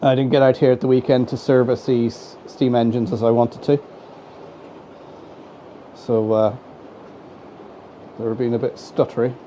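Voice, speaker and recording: A man's voice: mid-range voice, little pitch movement, measured pace, pauses often, dark tone. An Irish man with a head cold, sounding stuffy, chatting casually as he hosts a live stream and greets viewers by name. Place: home model railway room. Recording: dull, slightly lo-fi home mic with faint noise.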